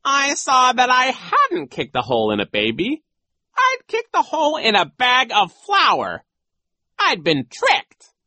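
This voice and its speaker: A sly, cruel male coach from a children's storybook acted out by a woman. He speaks high and slowly, stretching words theatrically with smug false regret, his pitch sweeping up and down. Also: wildly swooping pitch, bright, forceful tone, no echo, full character voice. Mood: dramatic, aggrieved.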